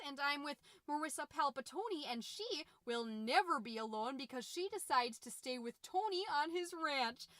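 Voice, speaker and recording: Casting: actress as fretful, over-cheerful suburban mom podcaster, high bright voice, folksy confiding monologue, anxious underneath. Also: female voice, dry recording, studio quality. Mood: defensive, gushing. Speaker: podcast host.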